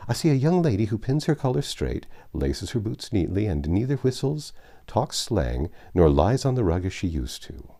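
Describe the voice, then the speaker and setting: A man voicing a proud, tender middle-aged father in a storybook reading, speaking in a measured, fond, fatherly way. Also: fairly low pitch, very animated, mellow, pitched above the performer's own voice. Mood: tender, approving.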